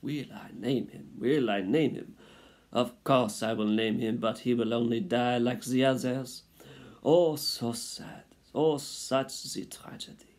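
A man's voice: mid-range voice, very animated, slow, creaky, darkish tone, voice pushed up, full character voice. A man voicing a vain, melodramatic French mother mouse in a storybook, lamenting theatrically in broken phrasing. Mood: dramatic despair.

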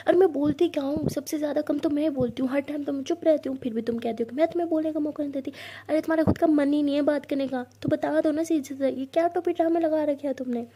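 A feisty young woman scolds in fast, loud, high-pitched bursts, as a woman storyteller acts her out. Her voice stays mellow and slightly husky even when she is indignant. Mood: scolding. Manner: scolding, rapid, exclamatory. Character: feisty young woman Tara, quick-tempered, teasing.

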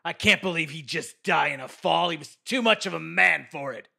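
A bitter, gruff soldier in a fantasy audio drama. His fairly high voice is very creaky and husky, full of grit. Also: normal speed, pushed and bright, full character voice. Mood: bitter mourning. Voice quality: gravelly.

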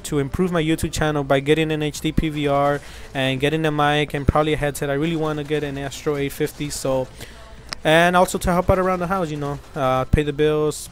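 A man's voice: a little high, slight background noise. A young man from Mexico, hosting his gaming channel, talking casually and earnestly over gameplay, rambling with many fillers and restarts. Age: young adult.

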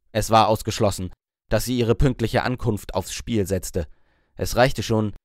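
Low-voiced man narrating an audiobook. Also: no room sound, clean studio sound. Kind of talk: scripted storytelling narration.

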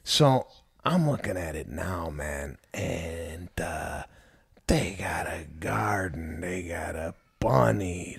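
A man co-hosting a podcast, telling a story in casual chat and getting animated when amazed. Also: lowish voice, vocal fry, husky, no room sound, studio quality. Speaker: podcast co-host.

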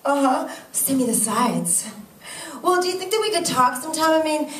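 A woman playing a struggling young actress on the phone in a stage monologue, halting and eager to please. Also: high-pitched, lively intonation, a bit bright, slight background noise. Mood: eager, anxious. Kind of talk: one-sided phone call in stage monologue. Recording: echoey room, distant microphone.

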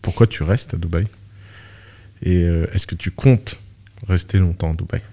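A man interviewing a guest on a studio podcast, asking relaxed, teasing questions. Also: low-pitched, moderate pace. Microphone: studio mic on boom arm, headphones.

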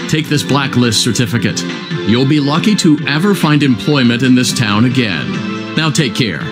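Male cartoon corporate announcer, played by an actor: smug, mock-congratulatory salesman, very lively intonation over music. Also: medium pitch, moderate pace, lightly acted. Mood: cheerfully condescending. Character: cheery, smug male company announcer, cheerfully insulting. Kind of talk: upbeat retro corporate cartoon narration.

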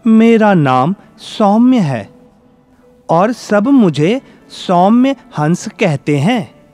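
A man voicing a gentle, wistful swan in a children's fable, explaining things earnestly. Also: sits high, big pitch swings, very slow, soft background music, full character voice. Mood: wistful, envious.

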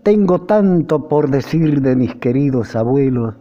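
An older Argentine man reciting a nostalgic poem in a measured, declamatory way, with phrases that rise and fall. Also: medium pitch, lively intonation, mellow. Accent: Argentine Spanish. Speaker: poetry reciter. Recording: dull lo-fi recording with music. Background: music behind the voice.

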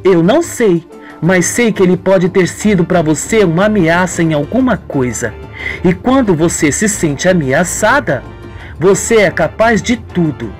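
Woman as accusing young soap heroine: pointed, confrontational, animated. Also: on the low side, a little slow, faint music behind, voice pushed up. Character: accusing, suspicious young woman, former friend of villain.

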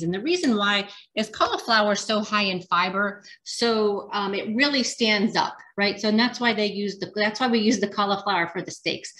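A woman's voice: medium pitch, fairly bright tone. A woman teaching a casual cooking demonstration, chatty and rambling with frequent ums and restarts. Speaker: dietitian cooking instructor.

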